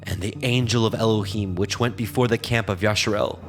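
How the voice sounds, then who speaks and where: A man narrates a dramatized scripture reading. His low voice is measured and solemn, clearly scripted. Faint music plays behind him.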